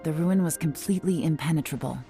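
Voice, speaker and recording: Low, mellow, commanding lady spymaster. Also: faint music behind. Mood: measured, explaining. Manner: composed, authoritative, measured. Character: cool, shrewd, commanding adult lady spymaster. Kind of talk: anime game voice acting.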